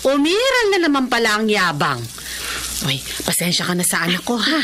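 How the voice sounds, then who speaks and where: Brief: middle-aged mother, lively swooping delivery, fond scolding, radio drama, slight background noise. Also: medium pitch, moderate pace. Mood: fond exasperation. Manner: motherly, nagging yet affectionate.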